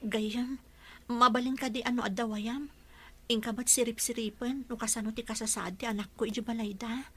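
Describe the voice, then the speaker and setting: A woman's voice: on the high side, steady tone, moderate pace, lightly acted. A young woman with an Ilocano accent playing a struggling, worried mother in an audio drama, speaking plaintively. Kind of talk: radio drama acting.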